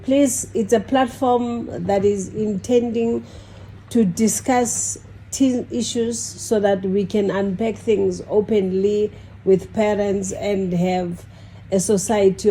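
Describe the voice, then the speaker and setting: A woman hosting a podcast, speaking slowly and steadily with frequent short acknowledgments. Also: medium pitch, steady tone, warm tone, slight background noise, poor audio. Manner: slow, measured, frequent "okay" backchannels. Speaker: podcast host. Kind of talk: podcast interview.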